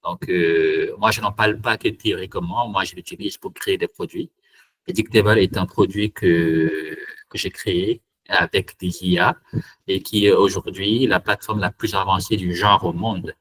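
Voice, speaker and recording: A man's voice: sits low, steady tone, moderate pace, a bit bright, breathy edge. A man from Cameroon hosting a live online tech meetup, talking hesitantly with many fillers and restarts. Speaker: meetup host and trainer.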